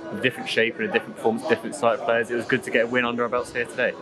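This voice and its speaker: Fast-talking interviewer, flat and low. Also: male voice, a crowd in the background. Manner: rapid, leading questions. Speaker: club interviewer. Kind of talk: post-match interview questions.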